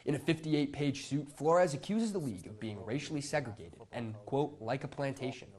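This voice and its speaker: A man's voice: medium pitch, expressive, normal speed, a little mellow. A young American man delivering broadcast news as a student TV reporter, in a formal announcer-like manner. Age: young adult.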